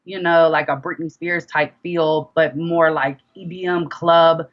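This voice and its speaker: A woman's voice: sits low, a little slow, highs cut off. A woman rapper and singer being interviewed, chatting casually and telling stories by acting out bits of conversation. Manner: animated storyteller, quotes conversations in dialogue.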